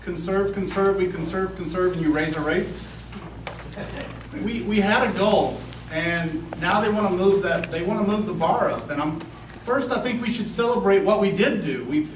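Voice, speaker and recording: A man's voice: high voice, slight background noise. A man answering as a candidate at a public forum, conversational and repetitive. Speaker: council candidate. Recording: echoey hall, distant mic.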